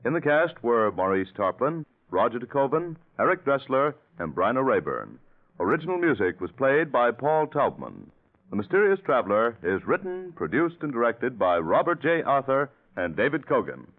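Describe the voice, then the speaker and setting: A man's voice: medium pitch, sing-song, moderate pace. Swooping, formal vintage radio announcer man. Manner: formal, emphatic, polished. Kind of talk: 1940s radio announcing, scripted. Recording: tinny vintage radio with faint music.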